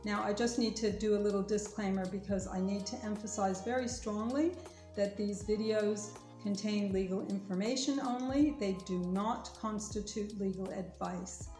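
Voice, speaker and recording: A woman's voice: medium pitch, fairly even intonation, normal speed, dark tone, soft background music. Earnest woman lawyer in a roomy space. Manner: earnest, careful, urging. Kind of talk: informational talk to viewers.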